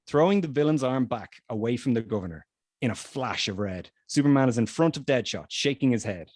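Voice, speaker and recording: A man with a medium-pitched voice narrates a screenplay. He reads out stage directions and action as scripted narration, with a dry, close sound. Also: a little mellow, dry recording. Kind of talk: scripted narration at a table read.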